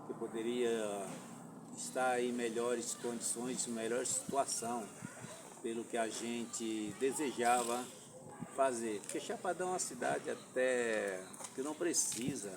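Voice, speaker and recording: Fifties guy from alagoas talking slow and careful, kinda far off the mic, noisy room. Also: mid-range voice. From Alagoas, Brazil. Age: 50s. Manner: slow, deliberate, halting speech with formal phrasing. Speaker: guest, former mayoral candidate. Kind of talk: podcast interview, personal account. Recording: voice from off-mic with clear background noise.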